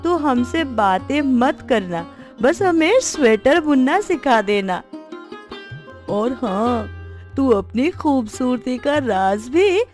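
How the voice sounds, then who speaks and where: A high, animated voice from a woman acting a chatty village gossip in a cartoon tale. She takes her time, stretching words expressively and teasingly. Music plays behind her. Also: slow pace, full character voice. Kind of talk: cartoon moral story dialogue.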